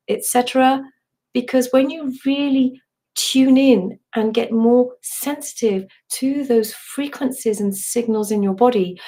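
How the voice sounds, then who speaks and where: A woman with a hoarse, partly lost voice, gently guiding a live online voice and breathing workshop, meandering with restarts and asides. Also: medium pitch. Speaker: somatic voice and movement teacher.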